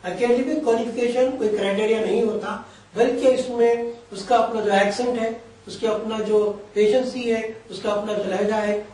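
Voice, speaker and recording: A man's voice: high-pitched, warm tone. A man giving career guidance in an interview, explaining in long, winding sentences that mix Urdu-flavoured Hindi with English terms. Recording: echoey room, voice from a distance.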